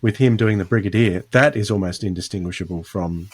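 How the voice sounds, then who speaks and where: A man's voice: on the low side, a little fast, breathy edge. A man hosting a podcast in a casual, conversational style, restarting phrases as he thinks aloud. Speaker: podcast host. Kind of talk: casual chat.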